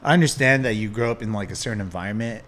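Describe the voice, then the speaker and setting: A man hosting a podcast, chatting casually with loose, relaxed storytelling and lots of filler words. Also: fairly low pitch, rapid-fire, a little hiss or hum. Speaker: podcast host. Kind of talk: casual chat.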